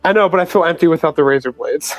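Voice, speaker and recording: A man's voice: fairly high pitch, racing, over music, poor audio. A man playing a tabletop roleplaying game, talking casually and a bit tentatively. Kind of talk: casual in-character roleplay chat.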